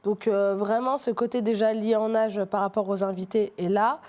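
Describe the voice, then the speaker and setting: A middle-aged woman from Martinique talks through her answers in a mellow, warm tone. She hesitates often, restarting her sentences and filling pauses. It sounds like a relaxed interview conversation. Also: mid-range voice. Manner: hesitant, many fillers and restarts. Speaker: street artist guest. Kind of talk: casual interview talk.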